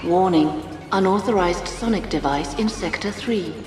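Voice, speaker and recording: A woman's voice: on the low side, moderate pace, loud music behind the voice, natural voice. Woman acting a distant, echoing computer announcer. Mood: urgent warning. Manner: formal, clipped announcement. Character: impersonal female computer alarm announcer.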